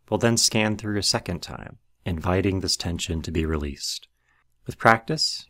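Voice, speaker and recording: A man leading a guided meditation as scripted narration, with measured, instructional delivery. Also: deep voice, a bit bright.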